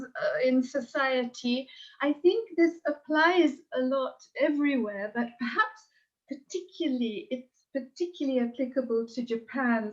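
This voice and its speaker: A woman's voice: high voice, darkish tone, muffled recording. A woman with a standard British accent giving a contest judge's comments, emotional and rambling, laughing often. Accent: standard British English. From Britain. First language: English.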